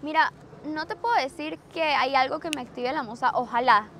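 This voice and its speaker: A young Venezuelan woman with a bright, high voice chats in a bubbly way as an interview guest. She talks outdoors on a boat at a marina, picked up by the camera from a short way off. A faint wash of outdoor ambience sits under her voice. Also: a little fast, slight background noise. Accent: Venezuelan Spanish. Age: young adult. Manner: bubbly, mixes English into Spanish. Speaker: singer-songwriter guest. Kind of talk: casual interview chat.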